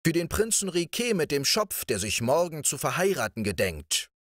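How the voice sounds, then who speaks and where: A man's voice: fairly high pitch, sing-song, moderate pace, a bit bright, no echo, studio quality, pitched above the performer's own voice. A man voicing a busy royal cook who answers matter-of-factly in a raised voice.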